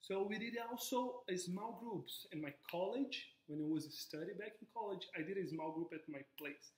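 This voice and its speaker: A man's voice: high-pitched, moderate pace, a little mellow. A man in his twenties from Brazil with a Brazilian Portuguese accent, earnestly sharing his personal testimony to a camera in a living room. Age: 20s. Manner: earnest, gestures with both hands. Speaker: Bible college student. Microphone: camera in front of him. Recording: echoey room.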